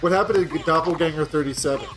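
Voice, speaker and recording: A man from Pennsylvania co-hosting a casual gaming show, telling a long, rambling story in a deadpan way. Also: on the high side, fast talker, over music, rough recording. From Pennsylvania, USA. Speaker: show co-host, gamer. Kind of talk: casual gaming podcast chat.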